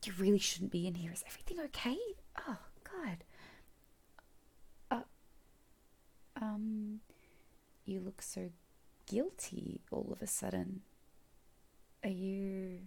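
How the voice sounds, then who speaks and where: A woman voicing a teasing, commanding middle-aged mother in a solo audio roleplay. She speaks softly and coaxingly, often in a whisper, close to the mic. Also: medium pitch, lively intonation, a little slow, vocal fry, long pauses, husky, full character voice. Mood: startled, scolding.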